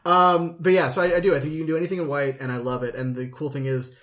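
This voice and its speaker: A man's voice: fairly high pitch, little pitch movement, quick pace, fairly bright tone. Enthusiastic man chatting on a podcast. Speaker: podcast co-host. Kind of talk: casual hobby podcast chat.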